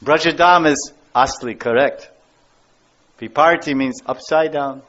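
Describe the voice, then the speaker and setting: Emphatic American man, somewhat high voice. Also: a little slow, a bit bright. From United States. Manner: emphatic, repeats key words. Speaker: spiritual teacher. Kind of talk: devotional lecture. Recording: dull lo-fi lecture recording.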